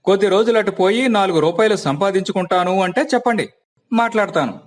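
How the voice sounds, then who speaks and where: నటుడు పోషించిన ధైర్యం చెప్పే పల్లె పెద్దమనిషి, హెచ్చు గొంతు. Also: male voice, sits high, fast talker, lightly acted. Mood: advising. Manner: reassuring, rustic colloquial advice. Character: practical, helpful elder village family friend. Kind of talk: dramatized moral story.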